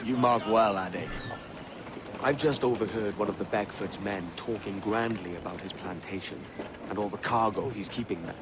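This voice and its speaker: An actor playing a cocky, roguish young pirate captain in a video game. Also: male voice, on the low side, moderate pace, noisy background, lightly acted. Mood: scheming.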